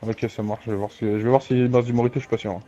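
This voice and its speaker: A man's voice: medium pitch, level tone, very fast, mellow. A man improvising casually as a bartender in an online roleplay game. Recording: dull lo-fi game voice chat, music behind. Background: music.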